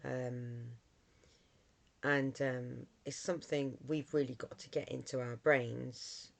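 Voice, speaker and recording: A middle-aged vlogger with a hoarse voice from a cold, talking casually to camera with many ums and restarts. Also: medium pitch, little pitch movement, takes it slowly.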